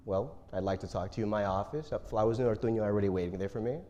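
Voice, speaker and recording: A man's voice: on the low side, fairly even intonation, very fast, highs cut off, close to an everyday voice. A man playing a smug, entitled, predatory company chief in a staged play reading, recorded with heavy noise. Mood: pressuring. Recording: very noisy recording with clicks.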